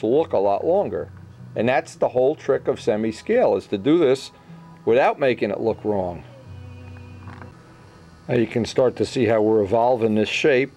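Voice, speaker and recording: A middle-aged man gives an informal craft demonstration at a workbench. His voice sits at an average male pitch, and he explains each step in short phrases, as if working it out aloud. Music plays behind him. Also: a little mellow. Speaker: model airplane builder giving a tutorial. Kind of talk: informal hands-on workshop demonstration. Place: indoor workbench.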